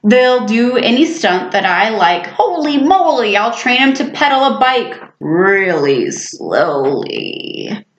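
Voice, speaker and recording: An excitable schoolboy full of big dreams , acted by a woman reading a storybook. He declaims grandly and eagerly, taking his time over each boast. The voice is fairly high, in a roomy, slightly dull recording. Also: slow, heavily acted. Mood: eager, excited. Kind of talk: storybook read-aloud. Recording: echoey room, slightly muffled sound.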